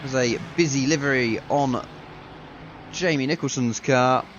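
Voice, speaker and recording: A man with a self-mocking, casual manner commentates a league sim race, a bit ill with a deeper voice than usual. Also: a little slow, highs cut off, background noise.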